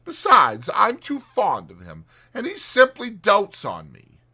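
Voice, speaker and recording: A man's voice: sits high, wildly swooping pitch, takes it slowly, pushed and bright, breathy edge, voice pushed up, exaggerated voice. A man voicing a boastful, pompous toad haggling in a wordy, indignant manner in a children's storybook. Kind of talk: dramatized children's storybook reading.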